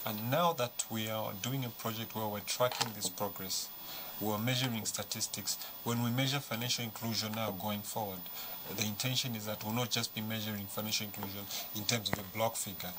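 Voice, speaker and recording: A Zambian man speaks as a panel guest in a fairly low voice. He explains in a conversational way and likes rhetorical questions. There is clear noise in the background. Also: fairly even intonation, fairly quick.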